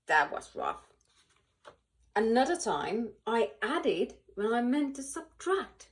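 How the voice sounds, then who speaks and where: A woman reading a children's picture book aloud in an animated, expressive way, with dramatic pauses and emphasis. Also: a little high. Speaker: volunteer children's book reader.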